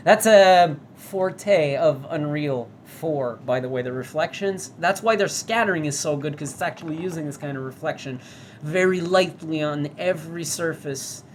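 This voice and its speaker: A woman's voice: low voice, a little hiss or hum. Chatty, foul-mouthed woman gamer. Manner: chatty and profane, reads signs aloud. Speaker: VR game reviewer. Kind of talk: casual gameplay commentary.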